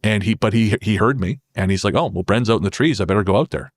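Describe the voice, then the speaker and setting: A man's voice: lowish voice, fast talker, no room sound, studio quality. A man speaking as a podcast guest, telling personal stories in a casual, reflective and wry way. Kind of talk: casual conversational storytelling.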